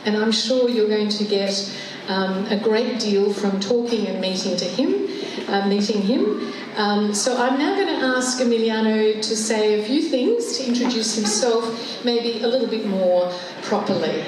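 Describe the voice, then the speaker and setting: A woman's voice: medium pitch, lively intonation, unhurried. A woman giving an enthusiastic introduction speech for a new chief executive, with frequent fillers. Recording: echoey room, background noise.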